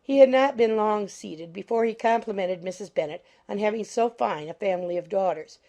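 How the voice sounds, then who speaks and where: A woman reads a classic novel as audiobook narration. Her voice is medium in pitch and dark, with noticeable fry at the edges. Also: a little fast.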